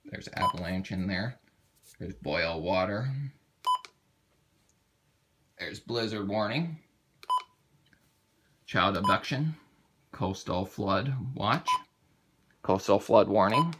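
A man giving a casual, halting how-to walkthrough, thinking aloud as he demonstrates a product. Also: lowish voice, expressive. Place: indoors at a table. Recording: very noisy home video, clicks and beeps.

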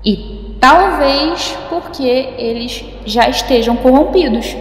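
A woman's voice: sits high, expressive, slow. A woman playing a defiant, sarcastic female hacker in a science-fiction audio drama, with a slangy, mocking delivery. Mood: taunting. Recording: echoey, long reverb, faint music.

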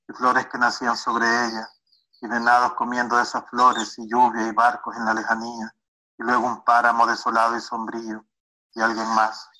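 A man's voice: on the low side, bright, forceful tone, rough recording. A man reading his own poem aloud, played back as a recording. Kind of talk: poetry reading.

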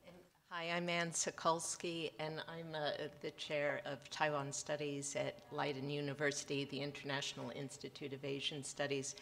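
A woman from the United States, a professor, introducing herself on a festival panel in a measured, academic way. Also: sits low, flat delivery, vocal fry, fairly bright tone. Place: event hall with a panel on stage.

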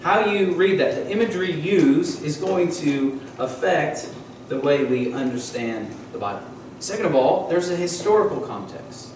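Pastor preaching in an echoey church. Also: male voice, a little high, expressive, moderate pace, darkish tone, a little hiss or hum. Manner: teaching, asks the congregation questions. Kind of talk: sermon. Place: church sanctuary, at a wooden pulpit. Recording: echoey church hall from far off.

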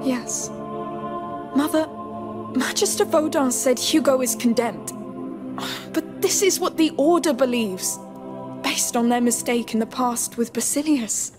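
A woman's voice: high voice, unhurried, pauses often, music in the background. A woman playing an earnest, protective teenage girl in a video game cutscene. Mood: earnest, hopeful.

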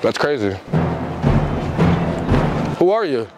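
A man's voice: a little high, sing-song, slow, over loud music. A man chatting casually as he poses as a store worker, recorded in a noisy public place. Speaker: prankster posing as store worker. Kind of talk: casual prank talk.

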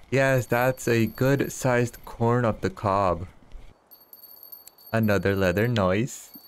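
Laid-back, unhurried man in his thirties. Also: on the low side, steady tone. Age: 30s. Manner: chuckles often, teasing banter with chat. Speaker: streamer. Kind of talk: casual live-stream chat while gaming.

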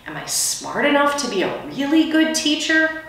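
A chummy, plain-spoken storybook janitor, played by a woman reading aloud, friendly but unsure of himself. The pitch moves in a lively way, and the room is very echoey. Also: normal speed, full character voice. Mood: self-doubting. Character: friendly, self-doubting male school night custodian. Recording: echoey room, distant microphone.

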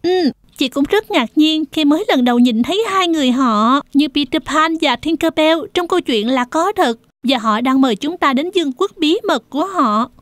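A woman's voice: high voice, lively intonation, normal speed, few pauses, no room sound. A woman voicing a curious, kind big-sister girl, sweet and full of wonder, in a children's fairy-tale cartoon. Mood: excited.

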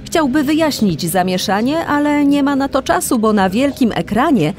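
A woman's voice: high-pitched, animated, moderate pace, soft background music. A woman narrating a children's radio play in a scripted storytelling style. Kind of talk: scripted audio drama narration.

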